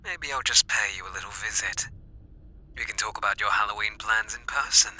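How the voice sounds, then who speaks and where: A British man playing an obsessive, seductively playful masked killer, taunting in whispery, drawn-out questions close to the mic in an ASMR roleplay. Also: on the low side, sharp tone, low-quality recording. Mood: playful, menacing. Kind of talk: ASMR roleplay, intimate monologue. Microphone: close microphone.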